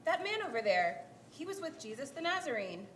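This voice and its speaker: A woman's voice: high voice, very animated, fairly quick. A woman playing a young servant girl who pointedly accuses a stranger in a dramatic Bible reading. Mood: accusing. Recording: echoey room, faint noise.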